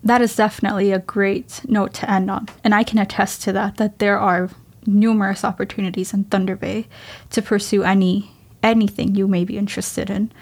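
A woman's voice: mid-range voice, flat delivery, a little fast. A young woman hosting a university podcast, friendly and upbeat as she interviews guests. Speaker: podcast host.

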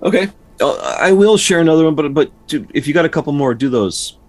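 A man's voice: fairly high pitch, lively intonation, fast. A man hosting a storytelling podcast, moving between casual chat and folktale narration.

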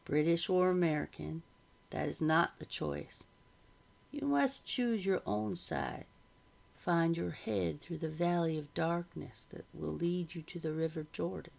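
Woman reading as a slow, gentle old grandfather. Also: on the low side, vocal fry. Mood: gentle, wise. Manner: slow, quiet, deliberate delivery. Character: kindly, wise old grandfather, gently amused.

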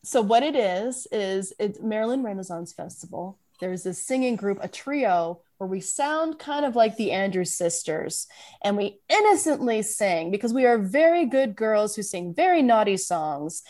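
A woman's voice: mid-range voice, expressive, moderate pace, darkish tone. A woman chatting playfully in a casual podcast conversation, joking and restarting her sentences. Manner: playful, jokey, lots of restarts and fillers. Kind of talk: casual chat.

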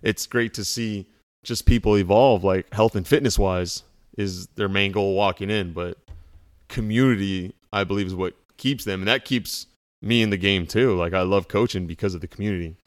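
A man's voice: on the low side, moderate pace. A man being interviewed as a new fitness coach, friendly and easygoing, often saying "like" and "you know". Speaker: CrossFit coach, guest. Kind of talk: casual interview chat.

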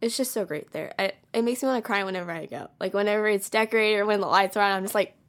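A woman's voice: medium pitch, fast. A young woman from California, co-hosting a college podcast with bubbly enthusiasm and lots of casual "like"s. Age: young adult. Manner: bubbly, enthusiastic, frequent "like" and laughs. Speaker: podcast co-host.

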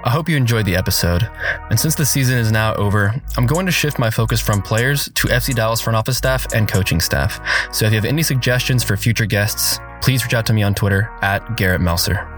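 A man with a fairly low voice hosts a sports podcast, asking questions in an easy, conversational way. Faint music plays softly behind him. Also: fast talker. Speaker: podcast host. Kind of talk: podcast interview.

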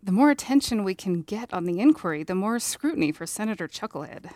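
A woman's voice: medium pitch, animated, quick pace, darkish tone, natural voice. A woman playing a candid, wry leader and loyal confidante in a fiction podcast drama, with a blunt, teasing manner. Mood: probing.

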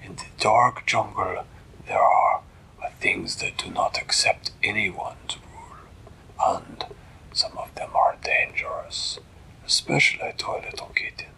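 Man doing a breathy, gravelly, grave cat king. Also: on the low side, huge pitch swings, unhurried, sharp tone, whispered, full character voice, low-quality recording. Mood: grave, ominous.

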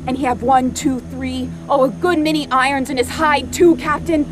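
Woman playing an eager high-voiced whaling sailor. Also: fairly even intonation, normal speed, background noise, close to an everyday voice. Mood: excited. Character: eager, excitable whaling-ship crew member. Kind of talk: audio play acting.

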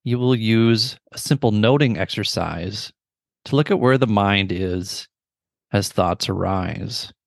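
Low, gravelly male meditation guide. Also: dry recording, studio quality. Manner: measured, instructional, gentle phrasing with pauses.

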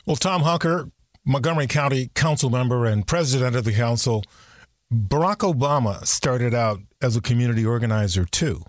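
A man hosting an interview podcast, speaking in a measured, deliberate way. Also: mid-range voice, dry recording, clean studio sound. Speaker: podcast host.

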